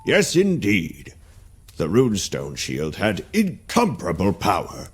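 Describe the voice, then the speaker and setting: A patient storyteller narrates a knightly game tale with lively intonation and an unhurried pace. The delivery is dramatic and measured, in a long reverb. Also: male voice, low-pitched, full character voice. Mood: measured, nostalgic. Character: patient male storyteller recounting a knight's fairytale adventure. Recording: long reverb, music underneath.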